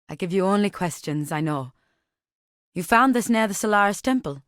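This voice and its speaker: An actress voices a proud warrior woman from a fantasy video game. Her voice sits on the low side, and she speaks sternly, with measured, formal solemnity. The recording is dry, with no room sound. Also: no room sound, close to an everyday voice. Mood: questioning. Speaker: game character voice. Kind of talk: scripted game dialogue.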